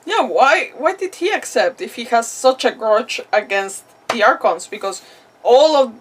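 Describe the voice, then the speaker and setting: A woman livestreamer giving casual gameplay commentary, thinking aloud in a halting, giggly way. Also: on the high side, lively intonation, far from the mic, slight background noise. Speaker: game livestreamer.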